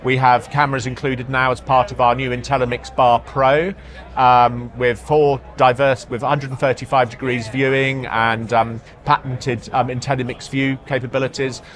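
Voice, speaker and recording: A man speaking as an executive in a product interview, halting, often repeating words and hesitating as he explains. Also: medium pitch, little pitch movement, fairly bright tone. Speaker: marketing executive being interviewed. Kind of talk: product interview at a trade show. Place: trade show floor.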